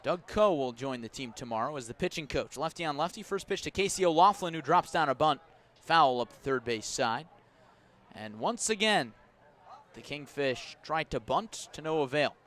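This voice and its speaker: Man calling baseball play-by-play, slightly high voice. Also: expressive, a bit bright. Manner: measured between plays, rising on action. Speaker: baseball play-by-play radio announcer. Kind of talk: live sports commentary. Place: outdoors at a ballpark.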